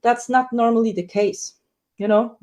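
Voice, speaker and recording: A woman, a soprano singer, telling her story in a casual interview with lively acted-out quotes. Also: moderate pace. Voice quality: high dramatic coloratura soprano voice.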